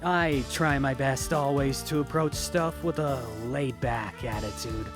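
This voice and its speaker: Man as a slow, suave teenage talent scout over music. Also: mid-range voice, pitched above the performer's own voice, natural voice. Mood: laid-back. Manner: calm, confident, silver-tongued. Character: suave laid-back French seventeen-year-old male talent scout. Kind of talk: audio drama character interview.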